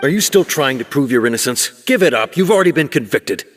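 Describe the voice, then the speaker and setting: A man's voice: mid-range voice, lively intonation, fast talker, slight background noise, natural voice. A man playing a harsh, impatient, commanding guard in a video game. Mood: scornful. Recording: long hall reverb.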